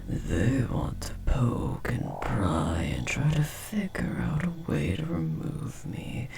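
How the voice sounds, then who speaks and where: Slow, gravelly, whispering insectoid parasite. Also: male voice, on the low side, sing-song, darkish tone, heavily acted. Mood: menacing. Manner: slow, theatrical, crooning. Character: possessive male insectoid parasite, doting and menacing lover. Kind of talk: audio horror roleplay acting.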